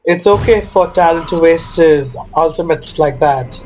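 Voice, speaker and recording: Wry man commentating a game, higher-pitched voice. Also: unhurried, a little mellow, faint music behind, poor audio. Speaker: gamer commentating own match.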